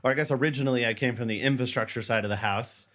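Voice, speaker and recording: A man's voice: medium pitch, very fast, a bit bright. A man hosting a casual podcast interview, rambling and repeating words, joking at his own expense. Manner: rambling, repeats words, jokey and self-deprecating. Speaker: podcast host.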